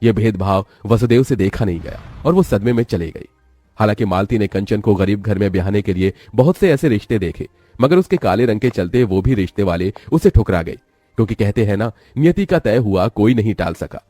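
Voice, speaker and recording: A man narrates a scripted story. His voice is fairly low and mellow. The recording is dry and close, with no room sound. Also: expressive, brisk, no echo, soft background music.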